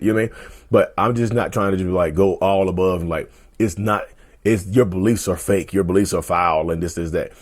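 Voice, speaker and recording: A man hosting a casual mental health vlog, talking straight to viewers in a loose, repetitive way, his voice going a little hoarse. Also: sits low. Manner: conversational, repeats himself, often addresses viewers as "y'all". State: voice getting hoarse. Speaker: mental health video host. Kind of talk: casual direct-to-camera talk.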